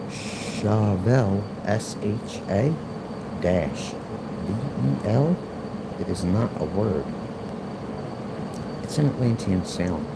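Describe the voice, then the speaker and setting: A man's voice: on the low side, expressive, very slow, creaky, pauses often. A man in his forties with a Philadelphia accent, rambling and repeating himself in a livestream monologue. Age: 40s. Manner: rambling, repetitive, argumentative. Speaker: livestream host. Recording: loud background noise, air conditioner hum.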